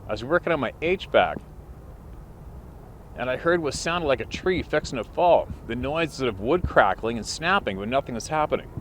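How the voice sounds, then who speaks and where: A man's voice: medium pitch, lively intonation, normal speed, fairly bright tone, slight background noise. A man hosting a channel, reading a listener's letter aloud as a plain story, recorded outdoors. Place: outdoors by the water.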